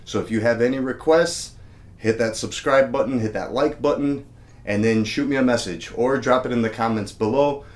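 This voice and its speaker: A man's voice: mid-range voice, moderate pace. Male instructor, easygoing and friendly, plain mid voice, explains as he goes. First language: English. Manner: relaxed, friendly instructor, counts beats aloud. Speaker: music teacher. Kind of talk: casual video lesson.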